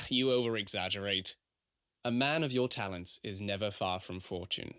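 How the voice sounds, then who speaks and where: An actor playing a genial, gracious young prince in a radio drama, with refined, easygoing formal speech. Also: male voice, on the low side, normal speed, bright, forceful tone, clean tone, no room sound, studio quality. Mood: friendly. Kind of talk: scripted radio drama acting.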